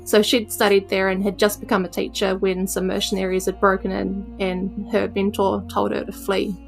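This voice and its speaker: A woman's voice: mid-range voice, monotone, moderate pace, gravelly, faint music behind, low-quality recording. A woman playing a tabletop game, casually recounting her character's story in a conversational, matter-of-fact way.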